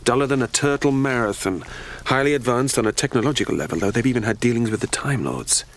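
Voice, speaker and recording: An actor with a British accent playing an excitable, whimsical time-travelling adventurer in an audio drama, breezy and eager. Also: male voice, medium pitch, fast, close to an everyday voice. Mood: hushed, wry.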